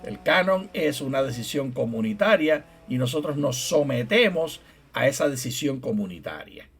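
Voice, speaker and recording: A man's voice: medium pitch, expressive, moderate pace, husky. A middle-aged man co-hosting a Bible study program, explaining things patiently and steering the talk back to the topic. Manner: explanatory, teasing, steers the conversation back on track. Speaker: co-host, theologian and professor.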